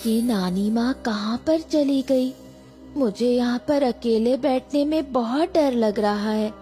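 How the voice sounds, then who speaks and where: A woman's voice: on the high side, takes it slowly, warm tone. A woman voicing a sweet, easily frightened little granddaughter in a cartoon horror story, childlike and hesitant. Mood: timid, curious. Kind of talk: animated horror story acting. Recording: background music.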